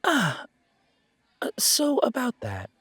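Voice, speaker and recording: A man's voice: extremely high pitch, huge pitch swings, slow pace, a bit bright, slightly rough, no echo, pitched above the performer's own voice, full character voice. A man voicing a cheerful, fussy pointy-eared male shopkeeper in an audio roleplay, prim and polite with a fluttery stammer. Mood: nervous, apologetic.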